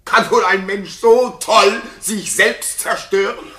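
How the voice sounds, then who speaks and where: A man's voice: high voice, steady tone, takes it slowly, soft background music, heavily acted. An actor playing a jealous, suspicious king in a classical radio play, declaiming theatrical verse with intensity. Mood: outraged. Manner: intense, declamatory, swinging from hushed to frenzied. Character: jealous, suspicious king raging at his supposedly unfaithful wife. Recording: roomy studio reverb.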